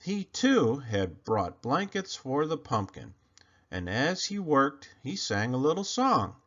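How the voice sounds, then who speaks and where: A man reading a children's storybook aloud in an expressive, emphatic storytelling manner. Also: medium pitch, takes it slowly, dull audio. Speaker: storybook reader.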